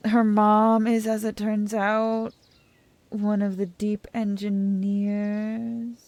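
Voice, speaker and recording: A woman's voice: mid-range voice, flat delivery, takes it slowly, a little mellow, dry recording. A young adult woman streaming a game, chatting in a rambling, self-correcting way and reading the text aloud. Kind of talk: casual let's-play chat and reading game text aloud.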